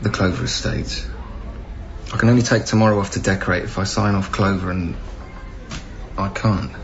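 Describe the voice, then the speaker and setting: A slightly muffled radio drama recording of a young male official. His voice sits low and sounds earnest and natural. He speaks gently and hesitantly, trying to persuade. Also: normal speed, gravelly, a little hiss or hum. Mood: earnest, conflicted. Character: earnest, patient, conscientious young council clearance officer.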